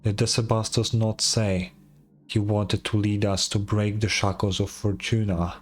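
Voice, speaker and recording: A man's voice: on the low side, little pitch movement, unhurried, soft background music, lightly acted. A man voicing an ancient, defeated stone soldier in a video game, weary and solemn, with formal archaic speech. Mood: solemn, resigned.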